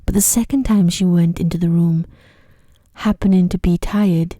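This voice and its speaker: Female ASMR storyteller: lowish, soft and whispery, very mellow, gentle soothing delivery, dry sound. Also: steady tone, moderate pace, no room sound. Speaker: narrator. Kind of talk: ASMR sleep story narration.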